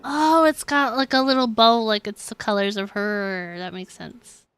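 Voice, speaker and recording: A young woman livestreamer chatting casually with viewers in a halting, conversational, giggly way while playing a game. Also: a little high, fairly even intonation, fairly bright tone, clean tone, dry recording. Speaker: game streamer.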